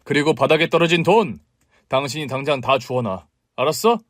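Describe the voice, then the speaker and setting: A man playing a protective younger brother-in-law in a spoken story, forceful and commanding, shouting when angered. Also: mid-range voice, lively intonation, a little fast, no echo, studio quality, natural voice. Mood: furious.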